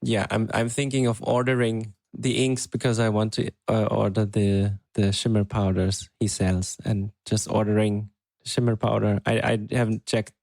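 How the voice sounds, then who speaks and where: A man with a low, gravelly voice chats casually on a podcast, thinking aloud and pausing often. The recording is dry and close, with no room sound. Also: level tone, darkish tone, no room sound. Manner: unhurried, hesitant, thinking aloud. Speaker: podcast co-host. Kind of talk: casual chat.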